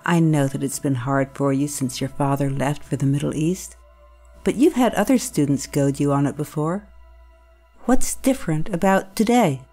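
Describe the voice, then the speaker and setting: A woman acting as a concerned, probing school staff member in an audio drama, with a measured, coaxing manner. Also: deep voice, warm tone, soft background music.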